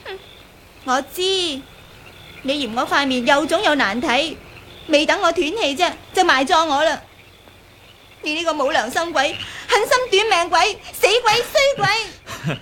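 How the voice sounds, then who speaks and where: High female voice, jealous young woman, sharp-tongued, petulant, radio drama, faint background noise. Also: expressive, normal speed. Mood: scolding. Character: fiery, jealous, scolding young woman with a grudge. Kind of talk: Cantonese radio drama acting.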